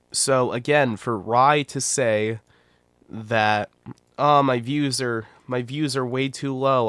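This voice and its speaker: A man's voice: mid-range voice, fairly bright tone, no echo. A man in his twenties with a nasal, stuffy voice from a cold, talking bluntly and rambling in casual livestream commentary. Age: 20s. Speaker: YouTuber and streamer.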